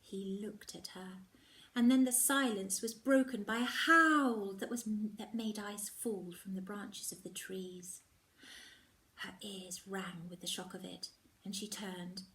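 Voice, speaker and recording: A woman's voice: mid-range voice, fairly bright tone, slight background noise. Woman reading a storybook, slightly distant. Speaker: primary teacher reading a children's novel aloud. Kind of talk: expressive storybook narration.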